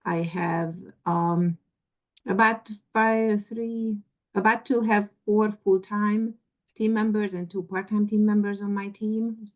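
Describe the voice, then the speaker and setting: A woman giving an informal panel answer as a library manager, with halting, hesitant phrasing. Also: medium pitch, little pitch movement, muffled recording.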